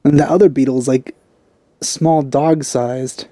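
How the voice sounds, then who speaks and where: A man comments casually on a game as he plays. His voice is very dark and relaxed at an ordinary male pitch. The asides are low-key and dry, on a close mic with no room echo. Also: measured pace. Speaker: let's play streamer.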